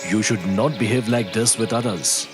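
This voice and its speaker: A voice actor playing a wise old talking walnut tree in a cartoon story, calm and lecturing. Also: medium pitch, normal speed, lightly acted. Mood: gentle. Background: music.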